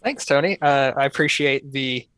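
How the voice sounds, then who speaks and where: A man's voice: mid-range voice, moderate pace, bright, forceful tone, smooth, no room sound. A man from North Carolina answering interview questions in an easygoing, rambling way. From North Carolina, USA. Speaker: guest, software developer.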